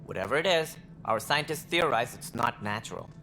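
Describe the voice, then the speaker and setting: Bright-voiced, coolly formal alien director. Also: male voice, medium pitch, expressive, soft background music. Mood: measured, grave. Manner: measured, formal, guarded. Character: cool, calculating male alien director of a space initiative. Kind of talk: video game cutscene acting.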